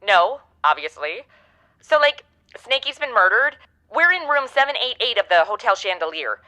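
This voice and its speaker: Offhand, slangy young woman over a phone filter, bright and animated, comic audio drama. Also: fairly high pitch, normal speed. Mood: flippant, impatient. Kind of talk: comedic audio drama acting.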